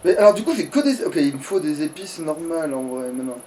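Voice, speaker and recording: A man's voice: fairly high pitch, animated, fast, darkish tone. A man playing a video game and commenting casually in slangy, mildly crude language. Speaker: let's play gamer. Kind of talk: casual gaming chat while playing. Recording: distant mic with clear background noise.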